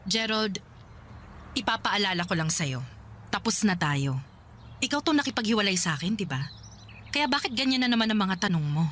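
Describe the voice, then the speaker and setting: A woman acting a sharp-tongued young woman who teases and scolds her ex sarcastically in a radio drama. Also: mid-range voice, very animated, moderate pace, long pauses, a little hiss or hum. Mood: sarcastic. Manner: sarcastic, teasing.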